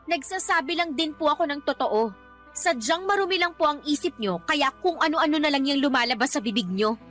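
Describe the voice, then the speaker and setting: A woman's voice: high voice, lively intonation, brisk, fairly bright tone, soft background music. An actress playing a young live-in girlfriend in a Filipino radio drama, defiant and aggrieved, arguing back in exasperation. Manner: argumentative, exasperated, complaining.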